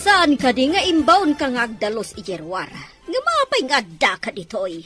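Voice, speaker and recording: A woman acts an imperious rich mother in a radio drama. Her voice is bright and very animated, scolding with open scorn. Music plays behind her. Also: high voice, normal speed, heavily acted. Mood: haughty, suspicious. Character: haughty, hot-tempered rich mother and madam. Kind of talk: Filipino Ilocano radio-style drama acting.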